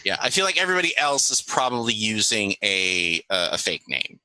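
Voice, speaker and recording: Halting man running a game, lowish voice. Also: sing-song, bright, forceful tone, no echo, low-quality recording. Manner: halting, repeats and restarts phrases. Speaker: game master. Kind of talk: improvised narrating of a tabletop game.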